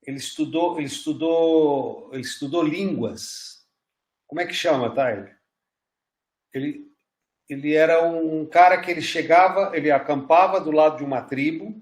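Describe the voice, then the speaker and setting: A man leads a church class, telling stories in a casual, halting way. His voice sits slightly high for a man. The room around him is echoey. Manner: conversational, storytelling with restarts. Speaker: pastor teaching a class.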